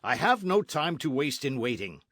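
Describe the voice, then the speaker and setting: A dashing masked outlaw, proud and gallant, who issues commands with theatrical force, as a male narrator puts him on. The voice swings widely in pitch. It is heard dry and close, with no room sound. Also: big pitch swings, normal speed, a bit bright, no room sound. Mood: commanding.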